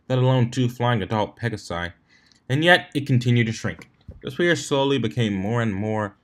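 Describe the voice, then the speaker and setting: A man's voice: medium pitch, a bit bright. A man reading fan fiction aloud as a scripted story narrator, sometimes tripping over a word and rereading it. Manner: stumbles over words and rereads them.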